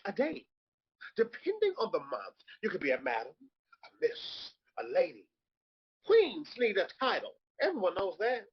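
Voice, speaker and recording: Casting: male actor as sassy, gossipy drag queen, theatrical drawn-out lines, lively swoops, slightly raspy, dull recording. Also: high voice, exaggerated voice. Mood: breezy, gossipy. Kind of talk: comedic live table read. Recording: dull lo-fi audio.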